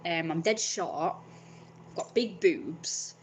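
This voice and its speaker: A woman's voice: on the low side, animated, takes it slowly, slight background noise. Blunt, rambling young woman. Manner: frank, rambling, swears freely. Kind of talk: casual vlog monologue.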